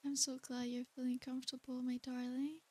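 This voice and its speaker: A woman playing a tender, doting, centuries-old succubus in a soothing, soft and breathy ASMR roleplay. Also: high voice, little pitch movement, a little fast. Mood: affectionate, coaxing. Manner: soothing, coaxing, hushed, with pet names.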